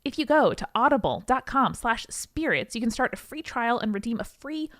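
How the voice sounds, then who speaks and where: A woman's voice: fairly high pitch, animated, normal speed, fairly bright tone, no echo. Playful woman podcast co-host, dry close sound. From Long Island, New York, USA. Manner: playful, bantering. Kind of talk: casual playful podcast chat.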